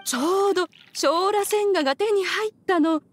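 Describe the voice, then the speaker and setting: A woman's voice: very high-pitched, normal speed, soft background music. An actress playing a dignified female immortal who puts on doting auntie manners in a Japanese video game. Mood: sweetly doting. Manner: switches between archaic stately speech and sweet chatty auntie talk.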